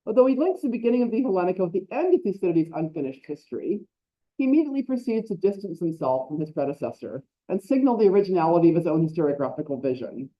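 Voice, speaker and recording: A woman's voice: lowish voice, highs cut off. Woman reading an academic paper. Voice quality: loud voice. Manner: self-correcting, quick asides. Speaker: conference speaker. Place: lecture hall.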